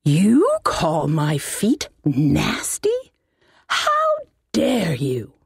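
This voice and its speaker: A woman's voice: lowish voice, huge pitch swings, very slow, slightly rough, no room sound, clean studio sound, exaggerated voice. A woman voicing an irascible, pompous old male halfling wizard in a comic fantasy audiobook, indignant and bossy. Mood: furious.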